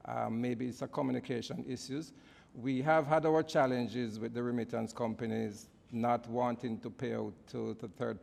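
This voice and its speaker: Gravelly Jamaican man in an echoey room. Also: medium pitch, a little mellow. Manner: halting, repeating words. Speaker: government official. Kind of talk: answering at a committee hearing. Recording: echoey room with clear background noise.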